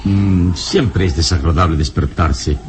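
An actor playing a calm, commanding adventure hero in a radio serial, speaking with measured, dramatic pauses. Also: male voice, sits low, slight background noise. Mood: reassuring.

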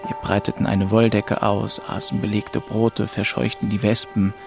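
A man's voice: fairly low pitch, level tone, moderate pace, gravelly. An actor playing a melancholy young man who narrates his road trip in an introspective, wistful way, in a radio play. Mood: wistful, brooding. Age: young adult. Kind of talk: first-person radio play narration and dialogue. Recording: music behind the voice.

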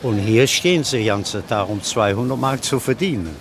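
A man's voice: on the low side, animated, a little fast. A middle-aged market trader, a man, recounting his own experiences in a plain-spoken way. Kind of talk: personal recollection in conversation.

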